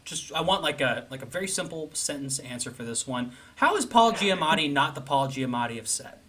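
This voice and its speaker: A man's voice: a little high, lively intonation, a little fast, sharp tone, breathy edge. A man hosting a comedy show, bantering casually in a mock interview style. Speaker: host of a comedy audition show.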